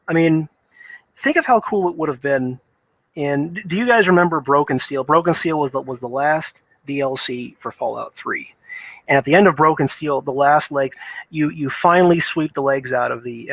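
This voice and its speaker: A man's voice: fairly high pitch, dry recording, muffled recording. A man giving casual, unscripted video game commentary in a rambling, emphatic, earnest way, often stammering over repeated words.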